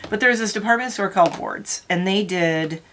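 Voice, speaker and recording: A middle-aged woman with a slightly raspy morning voice, chatting and rambling to her viewers while doing her makeup. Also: medium pitch, normal speed, fairly bright tone, slight background noise. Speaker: beauty vlogger. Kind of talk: casual makeup tutorial chat.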